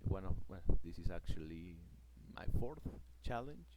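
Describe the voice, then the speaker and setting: A man from Peru with a Peruvian Spanish accent, a native Spanish speaker explaining his trading plan in a casual vlog. He talks haltingly, searching for words, with many fillers and restarts. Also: low voice, big pitch swings. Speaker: forex trader vlogger. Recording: loud background noise.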